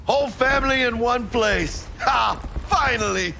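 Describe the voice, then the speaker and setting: An actor playing a brash, big-hearted street mercenary in a video game, with a Mexican American accent and a cocky, playful, gravelly delivery. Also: male voice, very high voice, a little slow, bright, forceful tone, slight background noise, heavily acted. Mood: upbeat, eager. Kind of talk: dramatic video game acting.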